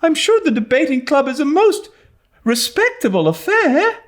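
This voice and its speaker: A man voicing an excitable, talkative young girl who pleads effusively in a storybook reading. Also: very high-pitched, moderate pace, voice pushed up. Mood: pleading, mournful. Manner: effusive, dramatic, breathless pleading.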